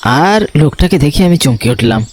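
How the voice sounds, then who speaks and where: A man's voice: fairly high pitch, expressive, moderate pace, a little hiss or hum, close to an everyday voice. An actor playing a nervous, curious young man narrating his own horror cartoon tale. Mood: uneasy, suspenseful. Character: nervous, curious young male photographer telling his ghost story.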